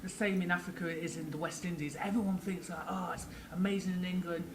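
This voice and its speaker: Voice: medium pitch, steady tone, quick pace. An interviewer chats with a guest and asks loose, friendly questions, laughing along easily. The voice sits in a middle register. A faint hiss runs underneath.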